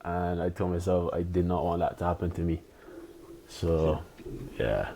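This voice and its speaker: A man in his twenties from Barbados, a pro tennis player chatting as a podcast guest, relaxed and loose with lots of "you know". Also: low-pitched, measured pace, vocal fry, a little hiss or hum. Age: 20s. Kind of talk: casual interview chat.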